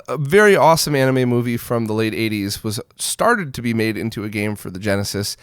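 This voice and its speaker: Smooth-voiced man, casual gaming news host. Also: mid-range voice, dry recording, studio quality. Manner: conversational, informal, opinionated. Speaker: host of a weekly retro gaming news roundup. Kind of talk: casual news and review commentary.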